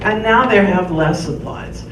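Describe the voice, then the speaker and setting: A woman's voice: medium pitch, a little slow. Emotional woman in her sixties, far off. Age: 60s. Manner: emotional, earnest. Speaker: audience member, mother of a soldier. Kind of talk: unscripted remarks at a town hall. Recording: echoey room, distant mic, noisy.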